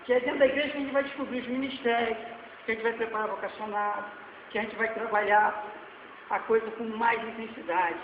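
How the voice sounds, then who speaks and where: A man in his forties with a Brazilian Portuguese accent, preaching a sermon that swings between loud exhortation and quiet asides. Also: very high voice, steady tone, fairly quick. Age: 40s. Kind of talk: sermon with slides. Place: church hall. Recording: echoey hall, dull lo-fi sound, faint noise.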